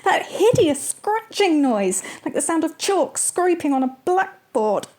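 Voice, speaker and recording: A woman's voice: very high voice, big pitch swings, a little slow, a little mellow, full character voice. A woman playing a fraught, bitter wife in a dramatic monologue for an amateur radio play. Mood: distressed, agitated. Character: fraught wife recounting smashed crockery and marital rows.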